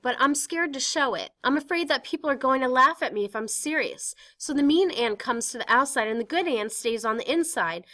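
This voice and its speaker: A woman's voice: high-pitched, pushed and bright, voice pushed up. Woman voicing a pleading teenage girl. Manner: emotional, pleading, confessional. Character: anxious, intense teenage girl in hiding. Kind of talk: dramatic reading of a stage play.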